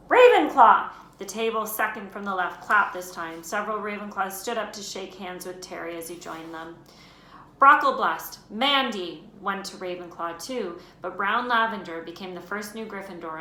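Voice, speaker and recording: A woman teacher reads a storybook aloud with an expressive touch. Her voice is bright and clear. The room adds echo and a faint hiss. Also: fairly low pitch. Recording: roomy with faint noise.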